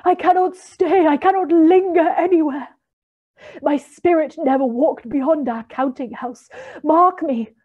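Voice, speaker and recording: A woman's voice: high voice, unhurried, narrow, tinny audio, voice pushed up. A woman voicing the anguished ghost of an old businessman, weighed down by chains, wailing and drawing out her words.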